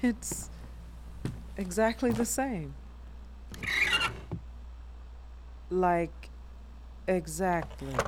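A woman's voice: fairly low pitch, expressive, very slow, very noisy. A woman acting a guarded young woman in an audio drama, with a dry, curt, sarcastic delivery. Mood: stunned.

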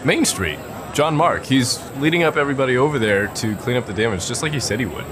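An actor playing a friendly, hurried volunteer bystander in an audio drama, speaking hesitantly. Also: male voice, medium pitch, lively intonation, lightly acted. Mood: hurried, sincere. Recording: street and vehicle noise. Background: urban traffic ambience.

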